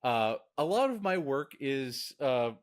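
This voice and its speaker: A man's voice: big pitch swings, slow pace, smooth. A man, co-hosting a casual podcast as a diagnostician, often restarting his words and laughing easily. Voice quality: deep voice.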